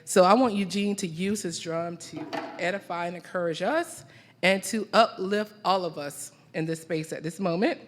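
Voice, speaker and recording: A woman from the American South, giving a rhythmic, emphatic, poetic keynote speech with deliberate repeated phrases. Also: fairly low pitch, a little hiss or hum. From American South (the Carolinas). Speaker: keynote speaker at a conference. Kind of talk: rhythmic spoken-word poetic public speech. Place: large conference hall. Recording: reverberant large hall through PA.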